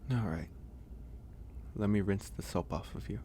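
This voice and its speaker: A man playing a gentle, caring werewolf in a soft, intimate ASMR audio roleplay, speaking hesitantly and reassuringly with frequent pauses. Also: fairly low pitch, slight background noise, close to an everyday voice. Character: gentle male werewolf, caring stranger nursing a guest.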